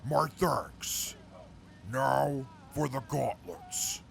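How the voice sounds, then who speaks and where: An actor playing a reformed male mutant soldier in an audio drama, speaking in a formal, measured way. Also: mid-range voice, lively intonation, vocal fry, slight background noise, full character voice. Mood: eager. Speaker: former enemy scout now with the crew.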